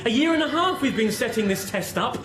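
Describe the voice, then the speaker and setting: Urgent high-voiced male scientist. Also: lively intonation, fairly quick. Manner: urgent, insistent. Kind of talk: radio drama acting. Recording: roomy echo with music behind.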